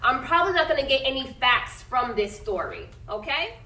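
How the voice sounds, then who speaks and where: A woman's voice: sits high, big pitch swings, moderate pace, sharp tone. A woman teacher reading a picture book aloud to her class, stopping often to ask questions and check understanding with "okay?". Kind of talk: classroom read-aloud lesson. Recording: echoey classroom from a distance.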